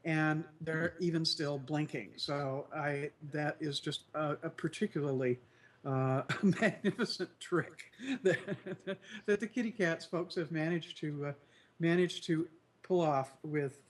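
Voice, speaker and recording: Congested male co-host, higher voice, nasal and croaky, meandering delivery. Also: fairly even intonation, measured pace. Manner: rambling, many fillers and restarts. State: congested, recovering from flu. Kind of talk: casual news chat show.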